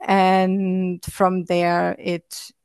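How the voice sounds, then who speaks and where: A woman answers interview questions in a flat, steady tone. Her low voice carries a gravelly edge, and she pauses often with fillers and restarts. The sound is dry and close. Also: no echo. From Austria. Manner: hesitant, many fillers and restarts. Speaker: guest entrepreneur.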